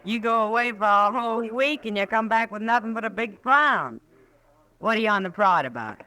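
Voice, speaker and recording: A woman's voice: fairly high pitch, expressive, normal speed, sharp tone. An actress playing a teasing, flirtatious frontier saloon woman in a radio western, with an American accent and a playful, needling manner. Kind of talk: old-time radio western acting.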